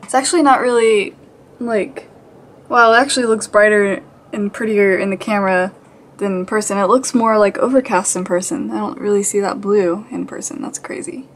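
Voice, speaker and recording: A woman's voice: mid-range voice, normal speed, a little hiss or hum. A woman vlogger talking casually, as if to viewers of her daily vlog.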